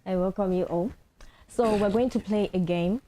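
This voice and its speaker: A woman's voice: mid-range voice, normal speed, dark tone, slight background noise. A young woman hosting an informal, friendly group chat. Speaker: talk show host.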